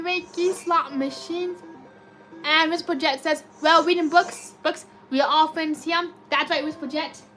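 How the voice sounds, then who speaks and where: Woman streamer, high and robotic-sounding, chatty and excitable, faint music behind. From United States. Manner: chatty, excitable cheering at spins. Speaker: slot machine live streamer. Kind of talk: live stream commentary reading chat.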